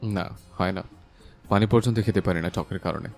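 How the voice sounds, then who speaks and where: A man acting a calm, inquisitive married older brother in an audio love story. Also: low voice, fairly quick, gravelly. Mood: grumbling. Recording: music under the voice.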